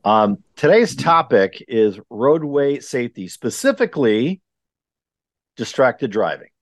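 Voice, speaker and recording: A man's voice: mid-range voice, very animated, a little slow, no room sound. A man from Wisconsin hosting a podcast, chatting casually with many ums and uhs in an earnest, rambling way. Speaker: podcast host. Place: remote recording.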